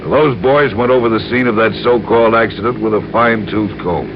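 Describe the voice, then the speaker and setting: An actor playing an earnest male insurance man who explains things deliberately and carefully in an old radio crime drama. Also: fairly low pitch, close to an everyday voice. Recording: muffled, noisy old radio recording.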